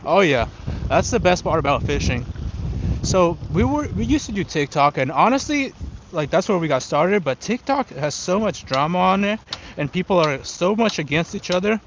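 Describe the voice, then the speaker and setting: Casting: young male livestream host from Ukraine, English as a learned language, slightly high voice, informal chat, faint background noise. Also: sing-song, normal speed, lo-fi sound. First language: not English, learned it after moving to the US. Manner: informal, switches between English and Russian. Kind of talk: casual livestream chat, answering viewers. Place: outdoors on a riverbank in wind.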